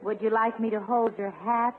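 An actress playing a genteel lady school principal in a 1950s radio comedy, polite, wry and gently teasing. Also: on the high side, steady tone, moderate pace, lightly acted. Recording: tinny, noisy 1950s radio recording.

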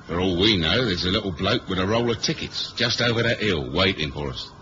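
A noisy, dull old radio drama recording carries a very low male voice. It is a cool British secret agent, dry and plain-spoken. The delivery is colloquial and wry. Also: lively intonation, a little fast. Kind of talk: 1970s radio drama acting and first-person narration.

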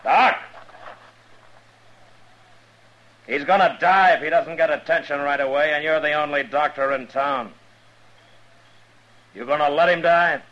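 An actor playing a stern, steady American frontier marshal, terse and authoritative, in a 1950s radio western. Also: male voice, a little high, moderate pace, lightly acted. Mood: urgent, commanding. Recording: muffled, noisy 1950s radio recording.

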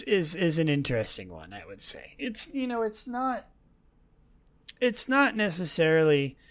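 A man with a somewhat high voice chats alone as a podcast host. His tone is clear and resonant, though a slight gravelly rasp shows it is worn from a day of talking. He rambles casually, with self-deprecating asides. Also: fairly bright tone. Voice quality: slightly raspy, gravelly from overuse. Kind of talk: casual solo podcast chat about a video game franchise.

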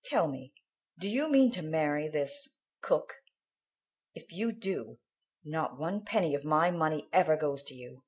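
A woman's voice: sits low, lively intonation, measured pace. A woman voicing an imperious, stern old aunt in a storybook reading, insistent and lecturing. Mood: stern, scandalized.

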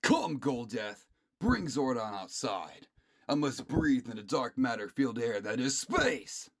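Wildly swooping, gloating villain warlord. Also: male voice, medium pitch, sing-song, no room sound, studio quality, pitched above the performer's own voice. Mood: commanding. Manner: theatrical, drawn-out gloating with cackles. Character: gloating male villain warlord, hateful, power-hungry, grandiose. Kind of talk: audio drama villain acting.

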